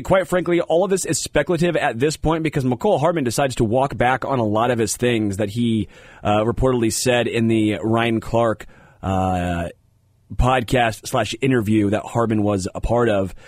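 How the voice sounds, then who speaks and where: A man's voice: mid-range voice, a little mellow, no echo, clean studio sound. An American man hosting a sports talk radio show, slightly congested, speaking informally and emphatically and often repeating himself. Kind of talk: sports talk radio commentary with casual asides.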